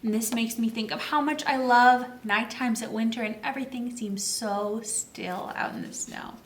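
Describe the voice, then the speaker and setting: High pitched lady doing story time for kids, asks questions n answers them, roomy echo, mic kinda far away. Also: normal speed, slight background noise. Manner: simple teacherly phrasing, asks and answers own questions. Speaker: children's librarian reading a picture book aloud. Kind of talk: children's story time read-aloud with questions and explanations. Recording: echoey room, distant microphone.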